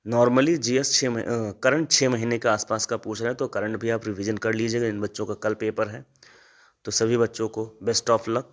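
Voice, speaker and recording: A man informally briefing students as a teacher, repeating points as he explains. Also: mid-range voice. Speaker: exam-prep teacher and channel host. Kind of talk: informal exam analysis addressed to students.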